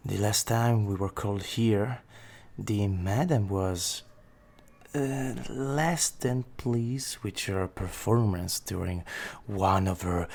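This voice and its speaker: A husky, gravelly mafia henchman played by a male voice actor, slow and unhurried. He sounds loyal and wry, teasing his boss patiently in audio drama style. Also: fairly low pitch. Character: laid-back, loyal mafia right-hand man, wry and patient. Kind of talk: scripted audio roleplay acting.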